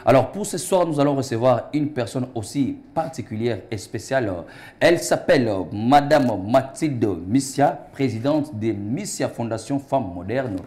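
A man's voice: medium pitch, expressive, normal speed, darkish tone. A man in his thirties hosting a TV studio interview, formal and ceremonious, with frequent hesitations. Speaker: TV talk show host. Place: TV studio set.

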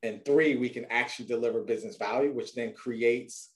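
A man's voice: medium pitch, steady tone, a little fast. A man in his forties talking conversationally and with confidence on a video call panel. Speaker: data leader panelist.

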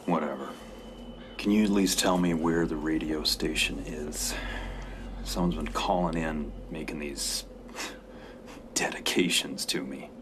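Low-voiced, guarded American convict. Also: male voice, steady tone, unhurried, background noise. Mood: frustrated. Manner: terse, evasive, guarded. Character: guarded, weary male convict in his thirties. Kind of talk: video game acting. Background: background music.

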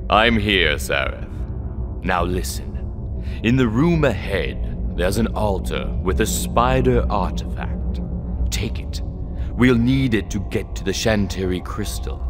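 An actor playing a stern older wizard mentor in a fantasy game, instructing with measured authority. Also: male voice, fairly low pitch, animated. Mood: commanding. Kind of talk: scripted game dialogue. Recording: echoey hall reverb, music behind.

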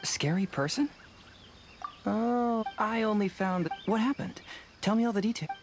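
An actor voicing a boyish, inquisitive young male detective in a video game, smooth and analytical. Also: sits high, a little slow, soft background music. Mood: composed, inquisitive.

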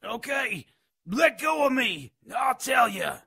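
An actor voicing a scrawny, spiteful, cowardly imp with a gravelly, raspy voice in a radio drama. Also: male voice, extremely high pitch, takes it slowly, dry recording, raised voice, exaggerated voice. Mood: panicked, defiant. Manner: snide, resentful, excitable. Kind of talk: radio drama character acting.

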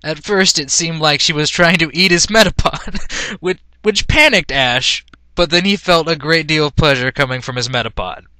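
Bright, higher-pitched man reading a story. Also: normal speed, no room sound. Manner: breaks into laughter, amused. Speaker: amateur reader of fan fiction. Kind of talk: mock-dramatic story reading.

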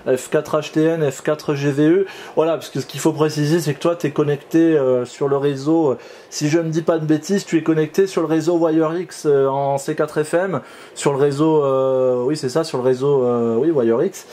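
A man's voice: on the high side, fairly even intonation, normal speed, warm tone. A man, an amateur radio operator explaining things hesitantly in an informal radio exchange. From France. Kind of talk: informal two-way ham radio exchange, demonstration. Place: indoor home radio shack.

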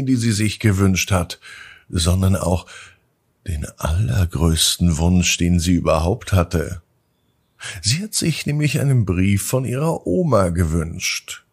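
A man hosting a children's bedtime podcast, narrating in a gentle, drawn-out storytelling manner. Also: fairly low pitch, husky.

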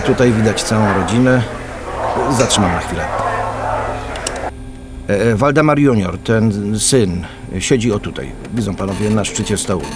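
A man's voice: fairly low pitch, very noisy, close to an everyday voice. An actor playing a polite, earnest policeman loyal to his men, speaking formally and hesitantly in a radio drama.

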